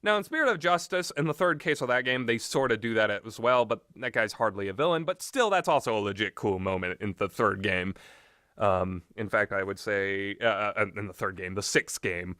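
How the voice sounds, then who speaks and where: A man's voice: on the low side, animated, normal speed, bright, forceful tone, smooth, no echo, studio quality. A man giving casual, unscripted game commentary, opinionated and mildly profane, often backtracking on himself as he goes. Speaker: video game reviewer.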